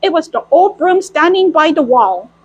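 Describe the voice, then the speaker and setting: A woman with an extremely high, lively voice tells a story and chats in character. She plays at being a cartoon mouse girl, with a childlike, playful manner and animated swings in pitch. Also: normal speed, dull audio. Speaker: storyteller in costume. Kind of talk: in-character storytime reading and chat.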